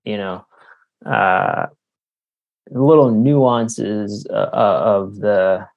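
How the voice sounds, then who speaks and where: A man's voice: fairly low pitch, drawn-out words, creaky, lo-fi sound. A man from Canada talking casually as an interviewed author, explaining things in a hesitant, wordy way.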